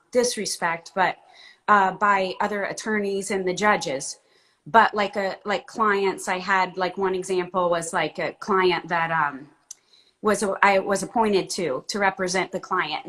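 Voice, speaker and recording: A woman from Florida answering interview questions in a measured, hesitant way with many pauses. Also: medium pitch, a bit bright. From Florida, United States. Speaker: guest.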